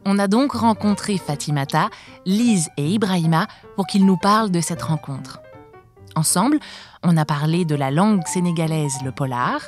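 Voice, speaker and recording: Woman host reading over soft music. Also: on the low side, normal speed. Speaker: podcast host. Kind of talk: scripted narration. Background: faint music.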